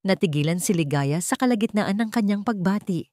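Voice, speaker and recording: A woman reading a scripted dramatic story as narrator, with measured, deliberate phrasing. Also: medium pitch, lively intonation, a little mellow, no room sound, studio quality.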